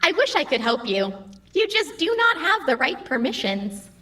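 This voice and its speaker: A high, lively female computer AI played by an actress for an audio drama. She is cheeky and literal-minded. Her phrasing is formal and precise, while her pitch leaps about expressively. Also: normal speed, full character voice. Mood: polite, earnest.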